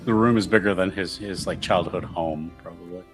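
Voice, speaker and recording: A man's voice: deep voice, fairly even intonation, fairly quick, faint music behind. A man playing a tabletop roleplaying game, talking casually through his character's choices.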